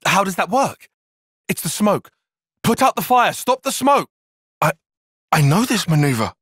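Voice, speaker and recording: Formal young tactician, husky edge. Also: male voice, high-pitched, sing-song, a bit bright, dry recording, studio quality, exaggerated voice. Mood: alarmed, urgent. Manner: precise, measured, wry, formal diction. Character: young male tactician, analytical, formal, dryly critical soldier. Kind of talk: video game cutscene voice acting.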